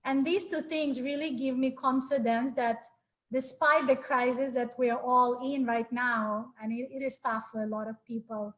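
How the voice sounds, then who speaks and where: A woman's voice: sits high, steady tone, normal speed, fairly bright tone. A woman in her forties from Ukraine, giving a friendly, earnest webinar talk over a video call, with many fillers and restarts. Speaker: webinar speaker. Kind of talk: presenting a live webinar talk. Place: small home room. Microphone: laptop webcam on a video call.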